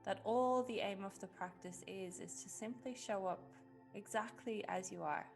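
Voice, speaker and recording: A woman leading a guided meditation in a gentle, unhurried way with long pauses. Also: medium pitch, creaky. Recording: music behind the voice. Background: background music.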